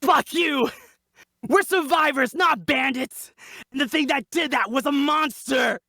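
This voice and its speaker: An actor playing a defiant, foul-mouthed bandit survivor in a dramatic table read, husky and blunt in delivery. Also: high voice, a little slow, sharp tone, no room sound, studio quality, heavily acted. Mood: furious. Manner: blunt, emphatic, combative.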